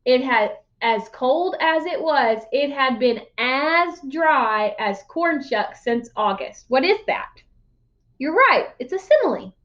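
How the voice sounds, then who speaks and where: A woman's voice: high-pitched, animated, slow pace, fairly bright tone, highs cut off. A woman reading a storybook as a teacher would, explaining things to young listeners. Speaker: read-aloud teacher.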